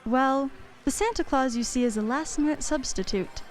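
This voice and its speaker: A woman plays a practical career mother in a radio drama. Her voice is fairly high and her manner dry and matter-of-fact, with faint music behind. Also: moderate pace, natural voice. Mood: reserved. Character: brisk, practical working mother, store executive. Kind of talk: student radio play acting.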